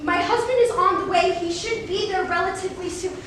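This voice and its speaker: A woman playing an anxious, apologetic working mother in live reader's theater, with a flustered, halting delivery. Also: fairly bright tone. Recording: distant, very echoey room, noisy rumble.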